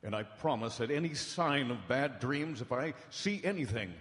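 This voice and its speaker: A man's voice: medium pitch, animated, a bit bright, close to an everyday voice. Man acting grave radio-drama doctor in echoey hall. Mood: solemn, reassuring. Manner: solemn, dramatic, measured storytelling. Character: grave, anguished male doctor reading his private journal. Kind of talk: old-time radio horror drama acting. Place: large hall stage before live audience. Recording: echoey hall, live stage broadcast.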